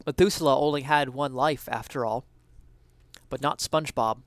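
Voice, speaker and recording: A man reading an essay aloud as a dramatic reading, with earnest, theatrical, deliberate phrasing, recorded close to the mic. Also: mid-range voice, no room sound. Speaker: reader of an essay.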